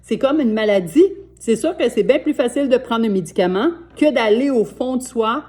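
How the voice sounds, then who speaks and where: A woman in her sixties with a Québécois French accent, giving a gentle, earnest self-help talk to camera from home as a life coach. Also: on the high side, lively intonation, normal speed. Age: 60s.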